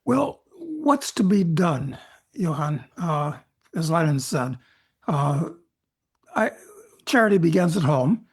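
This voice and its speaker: Husky-voiced man musing as he asks. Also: a little high, sing-song. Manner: musing, unhurried questioning. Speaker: host. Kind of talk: interview questions.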